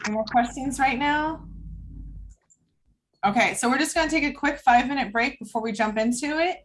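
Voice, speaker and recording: A woman organizing a table read on a video call, giving casual, brisk, directive instructions to a group. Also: sits high, fairly bright tone, slight background noise.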